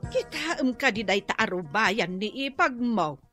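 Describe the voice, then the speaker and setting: An actress playing a chatty, curious, easily startled older neighbour in a comedic radio drama, full of drawn-out exclamations. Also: female voice, fairly high pitch, expressive, over music.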